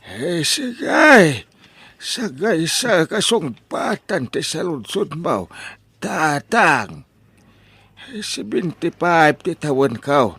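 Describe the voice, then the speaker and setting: A man with a Filipino accent playing a polite, earnest 75-year-old suitor in a comedy radio drama, with a husky, quavering voice. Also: fairly high pitch, sing-song, drawn-out words, pushed and bright, no room sound, heavily acted. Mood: halting, earnest.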